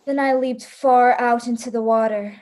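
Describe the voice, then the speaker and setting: A woman's voice: fairly high pitch, steady tone, normal speed, a little mellow, close to an everyday voice. A woman playing a hunted, defiant hunter in a radio play, terse, dramatic and grimly resolute. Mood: desperate.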